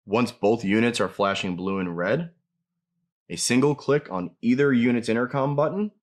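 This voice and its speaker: A man's voice: medium pitch, normal speed. A man narrating a scripted product tutorial, explaining each step plainly as a demonstrator.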